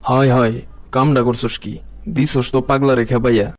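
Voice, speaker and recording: A man's voice: medium pitch, level tone, normal speed, close to an everyday voice. A man voicing a bossy, scolding cartoon uncle with a Bangladeshi accent, in colloquial comic satire. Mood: scolding, exasperated. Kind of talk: comic animated satire dubbing.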